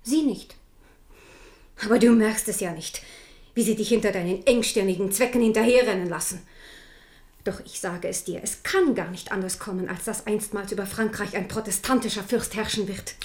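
A woman's voice: medium pitch, moderate pace, darkish tone, lightly acted. An actress in a German radio play playing a proud, devout Protestant queen and protective mother, fervent and stern. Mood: bitter, insistent.

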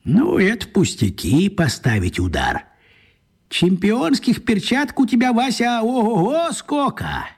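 A sly, teasing little old man in a radio play. His creaky, husky voice drawls slowly and toys with words, leaping widely up and down in pitch. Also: wildly swooping pitch, full character voice. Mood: wry. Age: older. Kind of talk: radio drama acting.

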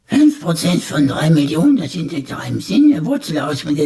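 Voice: medium pitch, sing-song, normal speed, gravelly, a loud crowd. An actor playing a chattering, cheeky male computer sidekick with flippant, mock-grandiose slang in a radio play. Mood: cheeky persuading. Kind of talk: German radio play acting.

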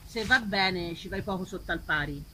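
A woman's voice: on the low side, normal speed, sharp tone. A woman putting long, rambling, insistent questions in an informal discussion at a live event. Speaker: festival organiser questioning the guest. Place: outdoor evening event in an olive grove. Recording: noisy live event recording.

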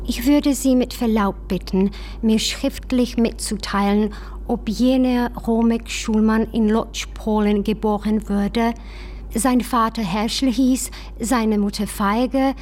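An actress playing an earnest, courteous woman who voices a formal letter in a radio play, with deliberate delivery. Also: on the high side, darkish tone, slight background noise. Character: courteous, earnest woman writing a letter seeking her relative.

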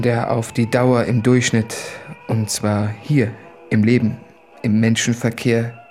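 An actor playing an anguished, self-doubting son in a radio play, delivering intimate literary monologue in an earnest, deliberate manner. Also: male voice, mid-range voice, darkish tone, lightly acted. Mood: self-abasing.

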